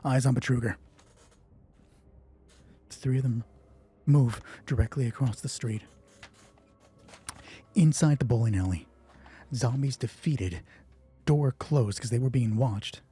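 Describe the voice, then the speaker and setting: A man's voice: medium pitch, unhurried, pauses often, mellow, breathy edge, soft background music. A man narrating a solo tabletop game as game master, thinking aloud and asking himself questions that he then answers. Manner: deliberating, asks himself questions and answers them.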